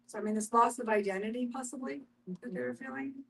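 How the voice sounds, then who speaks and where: A woman's voice: fairly high pitch, quick pace, fairly bright tone, low-quality recording. A woman facilitating a group discussion in a conversational way, putting questions to the group and inviting responses.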